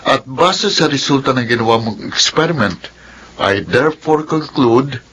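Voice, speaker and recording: Animated, teasing young Filipino man. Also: mid-range voice, unhurried, husky, poor audio. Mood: teasing, smug. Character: teasing, analytical younger brother, playfully smug. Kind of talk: radio drama acting.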